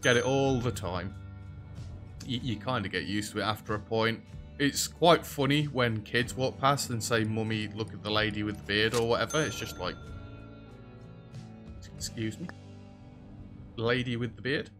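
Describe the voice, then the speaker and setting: Chatty British man with a deep voice. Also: moderate pace, long pauses, over music. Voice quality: rather deep voice. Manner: chatty, self-deprecating, talks to game characters. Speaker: gaming video host. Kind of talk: casual let's-play commentary. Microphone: headset.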